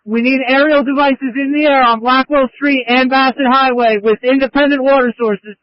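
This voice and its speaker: A man's voice: extremely high pitch, steady tone, moderate pace, no room sound. A man speaking as a fire chief over a two-way radio, giving urgent, clipped orders from a fire scene. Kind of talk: urgent fireground radio traffic. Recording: muffled lo-fi emergency scanner radio.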